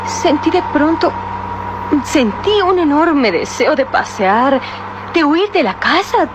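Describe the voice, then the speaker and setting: A flustered young heiress. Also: female voice, high voice, big pitch swings, takes it slowly, full character voice. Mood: worried. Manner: flustered, defensive. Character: frightened young rich heiress, nervous and indignant. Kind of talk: 1960s radio drama acting. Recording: noisy old radio drama recording.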